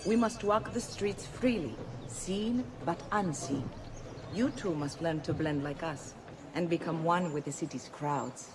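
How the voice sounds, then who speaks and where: An actress playing a poised, worldly Italian madam and mentor in a video game, speaking with an Italian accent. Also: female voice, low-pitched, a little slow, background noise. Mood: composed, instructive. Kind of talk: video game cutscene acting. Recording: background music under the voice.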